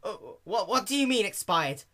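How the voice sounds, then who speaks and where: A brash young female pony from a cartoon story, acted by a man. The voice is pushed high and bright, swinging widely, loud and boastful in every phrase. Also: very animated, moderate pace, heavily acted. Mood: flustered. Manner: loud, cocky, emphatic.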